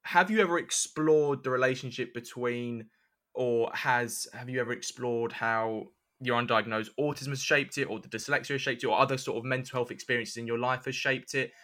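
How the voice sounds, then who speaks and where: A man's voice: medium pitch, fairly quick, sharp tone. A man hosting a podcast, asking questions in a conversational interview style.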